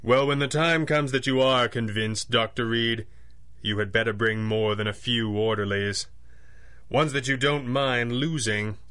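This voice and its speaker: An actor playing a suave, unflappable masked vigilante in 1930s radio style, formal and arch with dry menace. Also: male voice, mid-range voice, steady tone, measured pace, a bit bright, clean tone, lightly acted. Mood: quietly threatening.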